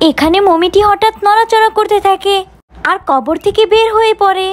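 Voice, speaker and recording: A woman's voice: very high-pitched, moderate pace. A woman narrating a scripted children's doll story.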